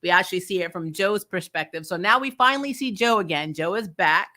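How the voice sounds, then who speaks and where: A woman's voice: lowish voice, lively intonation, a little fast, sharp tone. A woman hosting a casual review vlog, ranting and recapping in a blunt, sarcastic, exasperated way with some swearing. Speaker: host of a TV show review channel. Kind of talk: casual opinionated recap and rant.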